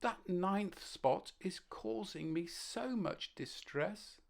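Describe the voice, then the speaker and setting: A woman's voice: medium pitch, animated, slow pace, gravelly, darkish tone. A woman voicing a timid, anxious young female ladybird in a storybook, earnest and close to tears. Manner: sobbing, earnest, self-conscious. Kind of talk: storybook character voice.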